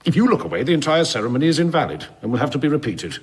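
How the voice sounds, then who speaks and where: A man's voice: medium pitch, sing-song, rapid-fire, natural voice. An actor playing an officious male civic official in a radio drama, with a pompous, formal, commanding manner.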